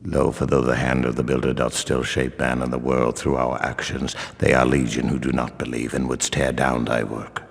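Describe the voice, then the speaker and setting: Grim old-style priest sermon for a game, super gravelly and husky, solemn archaic wording. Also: male voice, flat delivery, moderate pace, hardly any pauses, exaggerated voice. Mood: solemn preaching. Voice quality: very deep, gravelly, raspy. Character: grim male priest of a stern religious order. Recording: long echoing reverb.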